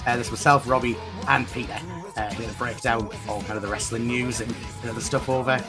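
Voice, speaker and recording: A man hosting a wrestling podcast, his voice croaky from being unwell, rambling and restarting. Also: medium pitch, fairly even intonation, fairly quick, over music. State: croaky voice from recent illness. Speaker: podcast host. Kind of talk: casual podcast chat and news reading.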